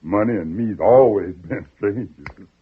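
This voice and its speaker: A man's voice: lowish voice, unhurried, slightly rough. A man playing a folksy, slyly affable backwoods uncle with a drawling Southern accent in an old-time radio drama. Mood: puzzled, sly. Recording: narrow, muffled old radio recording.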